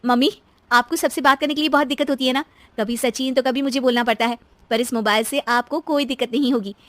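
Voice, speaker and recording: A woman's voice: high voice, fairly even intonation, quick pace, a bit bright, no room sound. A woman voicing a young, exasperated daughter-in-law with a dramatic, grumbling manner in story dialogue. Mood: sweet.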